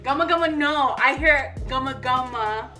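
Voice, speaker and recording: A woman's voice: high-pitched, normal speed, bright, forceful tone, distant mic, faint music behind. A woman, the host's fiancée, joining in with playful casual chat.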